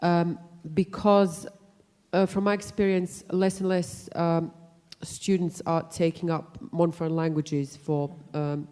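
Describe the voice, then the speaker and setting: A woman from Croatia asking a panel a question from the audience, pausing often to find her words. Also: lowish voice, level tone, mellow, clean tone. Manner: hesitant, searching for words. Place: lecture hall. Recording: long hall reverb.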